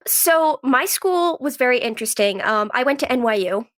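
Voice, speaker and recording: A woman's voice: sits high, lively intonation, normal speed, fairly bright tone. A woman in her twenties with a cold, chatting casually in an interview and peppering her youthful, conversational talk with "like". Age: 20s. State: has a cold. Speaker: guest, actress and writer.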